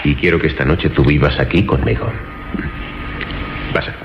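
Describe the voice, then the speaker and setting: A man's voice: extremely low pitch, normal speed, vocal fry, heavy background noise. A man playing an eager, slightly awkward bachelor in a radio drama, earnest and insistent. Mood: reassuring. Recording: dull lo-fi, hall reverb, music behind.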